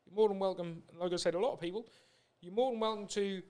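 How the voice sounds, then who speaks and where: High-pitched British man, casual podcast host. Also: quick pace, darkish tone. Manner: informal, rambling, anecdotal. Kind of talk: casual chat.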